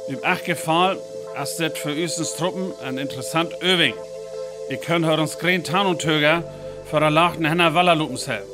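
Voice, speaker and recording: An actor playing a confident army captain reporting from the field in a radio drama. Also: male voice, on the high side, normal speed, over music, lightly acted. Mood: confident, brisk.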